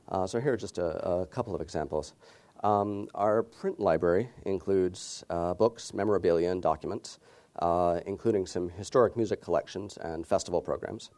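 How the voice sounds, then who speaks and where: A middle-aged man from the United States giving a conference talk, his voice very low and mellow. He speaks in a measured way, with plenty of "uh" fillers between phrases. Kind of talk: conference presentation.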